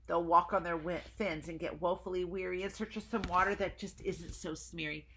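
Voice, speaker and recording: A small male forest creature from a storybook scolds loudly and lectures indignantly, as a woman reading aloud puts him on. His voice sits low and sounds bright and forceful. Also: fairly even intonation, moderate pace, slight background noise. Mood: scolding.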